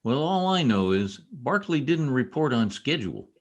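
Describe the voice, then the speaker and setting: A man in an old-time radio play, acting a protective, brusque young state trooper with a gruff, commanding manner. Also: medium pitch, sing-song, normal speed. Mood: brusque, guarded. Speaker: amateur radio play actor. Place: home, over a video call.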